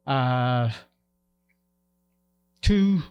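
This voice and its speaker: An older man from the Lower Ottawa Valley, a retired teacher and principal, giving a relaxed, chatty history lecture in a loud voice in a lecture room. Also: drawn-out words, clear, resonant tone, lo-fi sound. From Lower Ottawa Valley, Canada.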